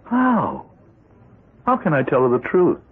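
An actor playing a desperate, cornered accountant, flustered, pleading and halting, in a 1970s radio drama. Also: male voice, a little high, wildly swooping pitch, husky, heavily acted. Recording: muffled old radio broadcast with music.

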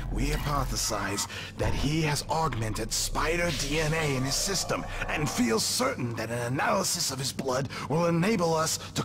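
A man's voice: on the high side, background noise. A man playing a nervous middle-aged scientist in a game cutscene, hesitant, deferential and precise. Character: nervous male scientist, eager to please his boss. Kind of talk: video game cutscene acting.

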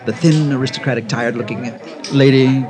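A man's voice: medium pitch, steady tone, fairly quick, crowd noise, close to an everyday voice. An actor playing a jovial, suave and mischievous male party host in a 1950s radio drama, with an effusive manner. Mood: amused. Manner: effusive, chuckling.

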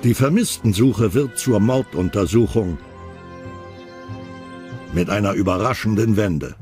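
A man's voice: on the low side, measured pace, darkish tone, faint music behind. A man reads scripted documentary narration as the narrator of a crime series.

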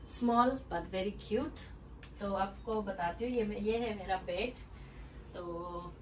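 A woman giving a casual, chatty home-tour vlog indoors, mixing in English words and phrases. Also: mid-range voice. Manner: informal Hindi mixed with English words and phrases. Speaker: vlogger giving a house tour. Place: indoors in small rooms at home. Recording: distant mic with faint room noise.

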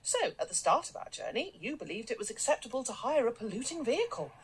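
Domineering, animated female boss, noisy recording. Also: a little high, fast. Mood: condescending. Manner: commanding, condescending, jargon-heavy. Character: brisk, domineering, self-assured wealthy female business boss. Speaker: actor in a radio drama. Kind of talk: naturalistic radio drama acting.

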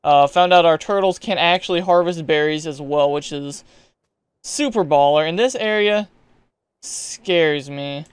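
A young man giving casual, chatty gameplay commentary, excitable and yelling at creatures, a bit hoarse as he is losing his voice. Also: fairly high pitch, a little slow, dry recording. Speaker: gaming YouTuber.

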